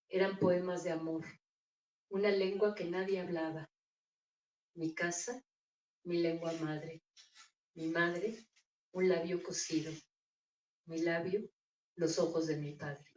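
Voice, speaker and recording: A woman reading her own poems aloud in a measured, deliberate recital at a bookstore. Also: lowish voice, a little mellow, recorded from a distance. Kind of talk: poetry reading. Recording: echoey room, voice off-mic.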